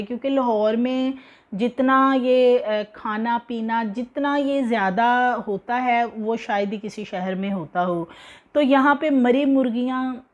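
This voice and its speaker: A young woman with a Pakistani Urdu accent delivers news commentary in a conversational way, full of fillers and indignation. Her voice sits a little high. She talks to the camera from a home living room. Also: muffled recording. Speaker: news presenter. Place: indoor living room with TV behind.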